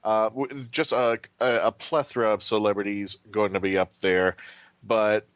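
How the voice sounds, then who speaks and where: Lower-voiced man announcing events, dry sound. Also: little pitch movement, normal speed, fairly bright tone, no room sound, highs cut off. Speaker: podcast co-host. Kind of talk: casual event announcement on a podcast.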